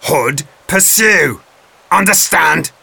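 A man's voice: a little high, animated, takes it slowly, gravelly, bright, forceful tone, slightly rough, no echo, pitched above the performer's own voice, heavily acted. A man voicing a scheming, scornful sheriff with mocking, theatrical delivery in an audiobook. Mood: commanding. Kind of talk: audiobook character voice.

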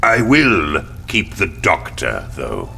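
An actor playing a smug, menacing businessman in an audio drama, unhurried, condescending and mock-polite. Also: low-pitched, huge pitch swings, creaky, pushed and bright, a little hiss or hum, full character voice. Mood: smug, cold.

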